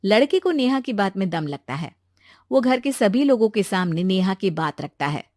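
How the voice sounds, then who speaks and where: A woman's voice: medium pitch, very animated, normal speed, darkish tone, dry recording, studio quality. A woman narrating a scripted moral story as a storyteller.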